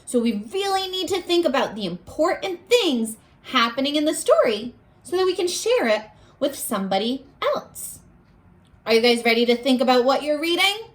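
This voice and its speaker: A woman teacher leading a read-aloud lesson for young children in an animated way, asking questions and pausing for answers. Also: sits high. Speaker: primary school teacher.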